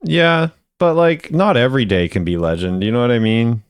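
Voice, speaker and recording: A man's voice: medium pitch, moderate pace, smooth, no echo. A man hosting a video, giving casual commentary as he ranks game voice lines.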